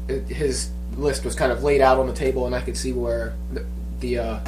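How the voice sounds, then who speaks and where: A man's voice: mid-range voice, darkish tone, low-quality recording. Stuffy-nosed man chatting casually. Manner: rambling, many fillers and restarts. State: stuffy head cold. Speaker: podcast co-host. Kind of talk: casual chat.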